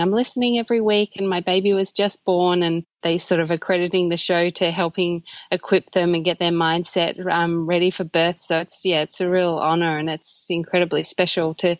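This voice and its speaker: A woman's voice: on the low side, no echo, lo-fi sound. An Australian woman in her thirties, a podcast guest chatting casually with lots of ums and small laughs. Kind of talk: casual interview chat.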